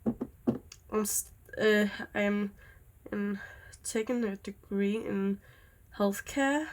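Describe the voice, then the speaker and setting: Young woman, slow unhurried pace, rambling casual vlog chat, easily sidetracked. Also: mid-range voice. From Denmark. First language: Danish. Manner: rambling, easily distracted, with many fillers. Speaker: vlogger. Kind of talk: casual chat while doing nails.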